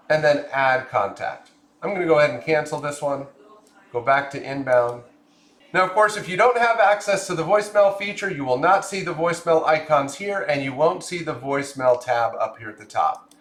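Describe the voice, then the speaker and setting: Male software explainer, raised pitch, methodical instructional delivery, noticeable room echo. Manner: clear step-by-step explaining. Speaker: software tutorial presenter. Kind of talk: scripted screen-share tutorial narration.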